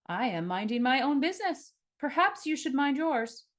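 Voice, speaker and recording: A woman's voice: high-pitched, sing-song, voice pushed up. Woman voicing a cold, menacing demon in man's skin. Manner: slow, deliberate, formal, threatening. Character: menacing demon possessing a man, cold and formal.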